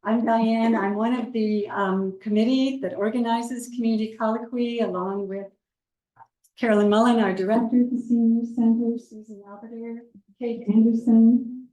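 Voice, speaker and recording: A woman moderating a community panel, welcoming a live audience in a gentle, measured and slightly hesitant way. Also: fairly high pitch, fairly even intonation, dull audio. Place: community meeting room with a seated circle. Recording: roomy room sound.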